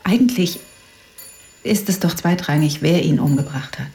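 An actress in a German radio drama playing a bereaved, bitter widow, speaking haltingly with breathy sighs. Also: female voice, sits low, mellow. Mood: weary, grieving.

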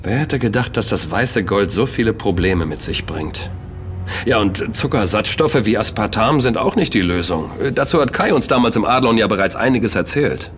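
Actor as animated, low-voiced curious investigator. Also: male voice, normal speed, soft background music, lightly acted. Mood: wry. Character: curious male investigator, wry and questioning. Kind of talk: German audio drama dialogue.

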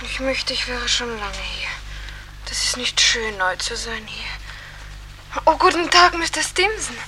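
An actress playing a wistful, restless young farm wife newly dead, in a radio drama. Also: female voice, a little high, unhurried, pushed and bright, a little hiss or hum, low-quality recording. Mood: wistful, eager. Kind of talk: theatrical radio drama acting.

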